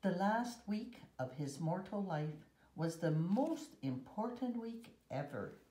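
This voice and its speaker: Mellow older woman reading slowly aloud. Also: fairly low pitch, very animated, slight background noise. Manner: measured, careful reading in short phrases. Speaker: guest story reader. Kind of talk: read-aloud children's picture book with Bible verses. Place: room at home. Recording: roomy echo.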